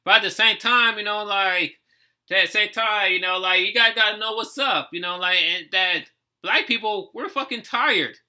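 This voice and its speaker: A man's voice: sits high, moderate pace, sharp tone. A man in his thirties from the United States giving outspoken, repetitive, sweary commentary in a casual reaction video. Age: 30s.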